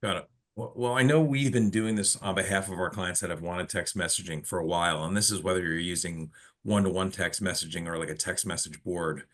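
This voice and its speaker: A man's voice: sits low, steady tone, fairly quick, a bit bright. A man hosting an informal business webinar, explaining things and putting questions to a colleague. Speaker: webinar host of a telecom company.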